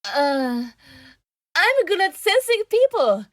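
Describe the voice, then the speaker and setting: A woman's voice: very high-pitched, very animated, slow, no room sound, clean studio sound, heavily acted. An actress playing an earnest, naive young woman investigator in a radio drama, with a hesitant way of talking. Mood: awkward, earnest.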